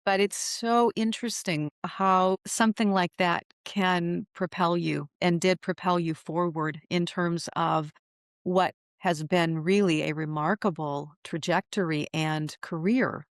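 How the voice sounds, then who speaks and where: A woman hosting a podcast, reading a polished introduction and then interviewing her guest in a measured way. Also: lowish voice. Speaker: podcast host.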